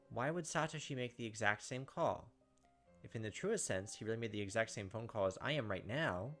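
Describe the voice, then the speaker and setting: A man's voice: medium pitch, fairly bright tone. Casual young man over game music. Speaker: gaming video host. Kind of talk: casual game commentary mixed with reading narration aloud.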